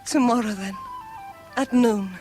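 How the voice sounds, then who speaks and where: An actress in a vintage British radio drama playing a troubled, secretive lover, earnest and hesitant. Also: female voice, fairly high pitch, measured pace, darkish tone, faint music behind, natural voice. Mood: reluctant. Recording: faintly hissy vintage radio drama recording.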